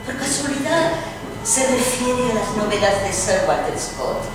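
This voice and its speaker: A teasing lady novelist acted by a woman speaks with animated intonation in a radio play. She is distant in a long hall reverb with loud noise. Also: a little high, measured pace. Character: teasing lady novelist among literary friends. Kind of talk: dramatized stage reading, radio theatre.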